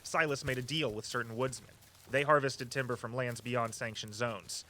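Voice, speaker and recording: An actor playing an eager, earnest walking-tour guide who tells local history with a storyteller's flair. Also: male voice, medium pitch, fairly even intonation, moderate pace, bright, forceful tone, natural voice, low-quality recording. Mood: theatrical, storytelling. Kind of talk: audio drama dialogue, storytelling tour patter.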